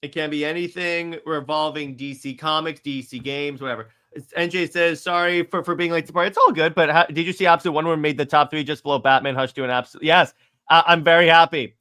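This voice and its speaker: A man with a fairly high, bright voice chats casually as a podcast co-host. He takes viewer questions and gives his opinions with enthusiasm. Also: steady tone, fast talker, smooth. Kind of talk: casual fan chat, reading and answering viewer questions.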